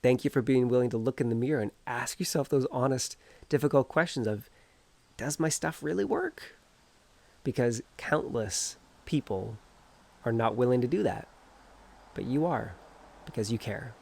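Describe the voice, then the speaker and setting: A man's voice: medium pitch, moderate pace, creaky, long pauses, a little mellow. Stuffy-nosed man giving earnest encouragement. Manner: earnest, encouraging, speaks directly to the viewer. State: stuffed-up nose from a cold. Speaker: energy healer and coach. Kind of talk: encouraging self-help video talk. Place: outdoors.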